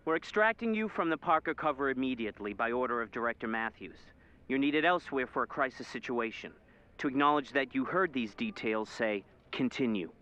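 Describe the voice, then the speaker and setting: An actor playing an alert, earnest male spy handler in an interactive audio game, giving directives in a crisp, urgent manner. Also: medium pitch, expressive, fairly quick, bright, forceful tone, highs cut off. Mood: businesslike. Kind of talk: scripted interactive game acting.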